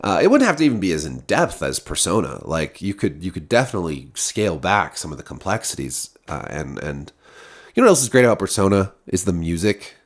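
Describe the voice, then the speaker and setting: A deep-voiced man talks loosely and off the cuff, circling back on his words as he thinks aloud. His voice sounds stuffy and nasal, as if he has a cold. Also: big pitch swings, fairly quick. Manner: rambling, many restarts and fillers. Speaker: video creator. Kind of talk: casual unscripted musing to camera.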